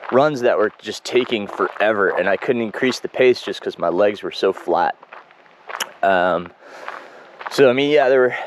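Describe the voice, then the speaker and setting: A man speaking in a casual running video diary, congested and froggy, reflective and halting with many ums and restarts. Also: lowish voice, slight background noise. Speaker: running vlogger. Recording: dull lo-fi home recording.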